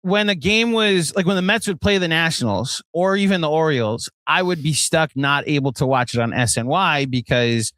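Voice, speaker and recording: A man's voice: on the high side, moderate pace, hardly any pauses, a bit bright, dry recording. An American man co-hosting a sports podcast, telling stories in a rambling, conversational way. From Virginia, United States. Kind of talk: casual sports chat.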